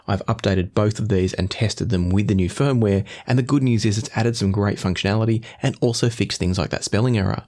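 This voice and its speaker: A man's voice: fairly low pitch, darkish tone. A man hosting an audio gear review, explaining products in a methodical way.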